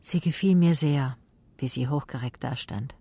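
A woman's voice: very deep voice, normal speed, mellow, slightly rough, no room sound, exaggerated voice. An actress playing a private detective who narrates her own case in a radio play, laconic and matter-of-fact. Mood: cool, reflective. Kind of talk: intimate first-person radio play narration.

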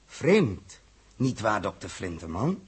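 An actor playing a suspicious, commanding space captain in a 1960s Dutch radio drama, clipped and confrontational. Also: male voice, lowish voice, sing-song, takes it slowly, breathy edge, heavily acted.

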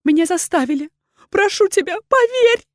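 A woman's voice: sits high, very animated, brisk, dry recording, clean studio sound, voice pushed up. A woman voicing a desperate, tearful wyvern woman, a spurned mistress who pleads in breathless, sobbing exclamations. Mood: tearful pleading.

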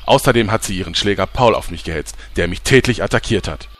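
Guy doing a pushy tv reporter, low voice, faint hiss behind. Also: fast, fairly bright tone, lightly acted. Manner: dramatic newsreader tone. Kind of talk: comedy audio drama.

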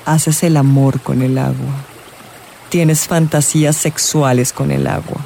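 An actor playing an eccentric, probing astrologer in a radio drama, speaking in dramatic, drawn-out pronouncements. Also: mid-range voice, steady tone, creaky, warm tone, slight background noise. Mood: teasing, probing. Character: eccentric astrologer, theatrical, teasing, probing, solemn. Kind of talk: theatrical radio drama acting. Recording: music and vehicle sounds behind. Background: music, real outdoor soundscapes.

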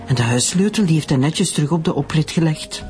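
An actress with a Flemish accent playing an anxious, middle-aged schoolteacher suspected of murder, protesting in a radio drama. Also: female voice, low-pitched, animated, a little fast, darkish tone, faint music behind. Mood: distressed, insistent. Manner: agitated, protesting.

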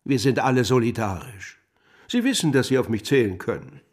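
Casting: jovial gentleman investor, husky, swooping intonation, effusive flatterer, period radio play. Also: medium pitch, fairly quick. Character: jovial, ingratiating society gentleman investor, glibly loyal. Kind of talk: German radio drama acting, historical.

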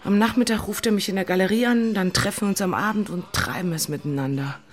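A woman's voice: mid-range voice, fast, natural voice. An actress playing an emotional, impulsive young woman in love turmoil, in a dramatic radio play style. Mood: subdued, confessional. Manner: dramatic, volatile, shifting into murmurs. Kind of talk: German radio play acting. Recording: music underneath.

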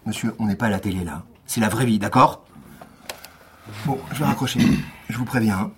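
An actor playing a wry, world-weary police lieutenant in a radio drama, deadpan and ironic. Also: male voice, lowish voice, a little fast, slight background noise, natural voice. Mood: exasperated, dry. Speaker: narrator and lead character. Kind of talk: scripted radio fiction acting.

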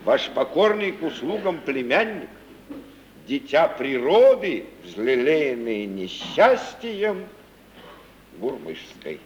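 An actor playing a florid, obliging gentleman given to lofty sentiment, declaiming grandly in the style of 1950s Russian radio theatre. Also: mid-range voice, big pitch swings, slow pace. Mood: rapturous, declaiming. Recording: dull old recording, distant, hissy.

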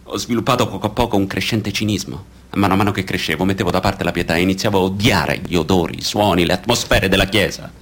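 Low-voiced, bitter male abuse survivor. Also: fairly bright tone. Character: bitter adult male survivor of priest abuse, disillusioned, testifying. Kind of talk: dramatised testimony in documentary radio theatre.

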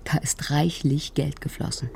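A woman's voice: low voice, little pitch movement, measured pace, creaky, darkish tone, slight background noise. An actress playing a self-assured, teasing female investigator with a dry, matter-of-fact manner in a German radio crime drama. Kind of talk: German radio play dialogue.

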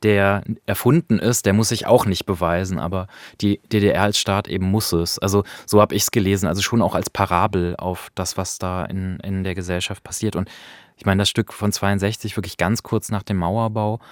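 Low-voiced man, close and dry. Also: fairly even intonation, fairly quick, dry recording. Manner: reflective, analytical, explanatory. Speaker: podcast host. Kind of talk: conversational discussion of radio drama.

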